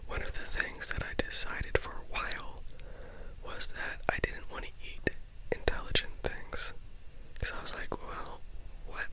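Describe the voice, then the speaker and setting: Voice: unhurried, rough recording. Whispering asmr host, rambling, restarts a lot, airy whisper, close mic. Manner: whispers throughout, rambling with restarts and self-talk.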